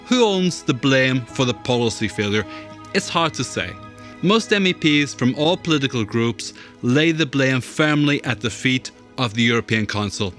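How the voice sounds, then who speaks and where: A man narrating a documentary in a measured, pointed scripted delivery. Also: medium pitch, fairly bright tone.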